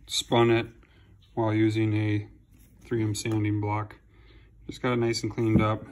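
A man in his home garage, casually explaining a repair step by step while filming close over his workbench. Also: on the low side. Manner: relaxed, plain-spoken, with ums and restarts. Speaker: home mechanic. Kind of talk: casual how-to narration.

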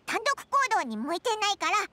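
A woman's voice: very high voice, big pitch swings, fast talker, very noisy. A woman voicing a small, childlike fairy companion in an anime game, boastful and eager, with cutesy boyish tough-talk. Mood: curious, chirpy. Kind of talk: Japanese anime game voice acting. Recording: studio voice over loud playful music.

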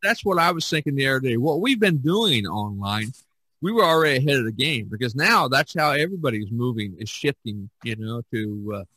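A man's voice: mid-range voice, lively intonation, fairly quick, pushed and bright, slightly rough, dry recording, low-quality recording. Man chatting casually, dry close sound. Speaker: podcast host. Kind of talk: casual podcast discussion.

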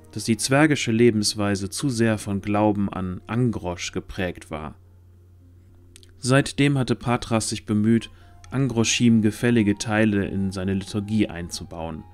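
A man's voice: on the low side, darkish tone. A man narrating a scripted fantasy story as the narrator of an audio drama. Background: background music.

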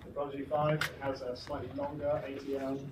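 Far-off man presenting over loud noise. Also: mid-range voice, monotone, brisk, lo-fi sound. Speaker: company presenter. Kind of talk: product launch presentation. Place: indoor trade show demo room. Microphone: camera some distance away. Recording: distant mic, very noisy event hall. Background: loud room ambience noise.

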